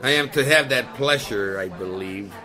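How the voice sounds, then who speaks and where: A man's voice: medium pitch, normal speed, bright, forceful tone, natural voice. An actor playing a mysterious, grandiose male showman mystic in a 1930s-style radio drama. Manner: grandiose, ceremonial, oily.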